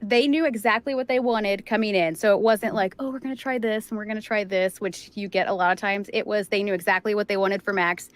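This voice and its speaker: A middle-aged woman voice actor chatting casually as an interview guest, animated in her storytelling and acting out quoted remarks. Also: mid-range voice, a little fast, hardly any pauses, no echo. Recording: music playing behind the voice. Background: background music.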